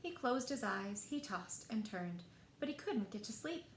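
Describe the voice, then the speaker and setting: Female children's storyteller, lively and expressive picture-book read-aloud, roomy sound with faint noise. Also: on the high side, measured pace. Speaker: library storyteller. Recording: echoey room, faint background noise.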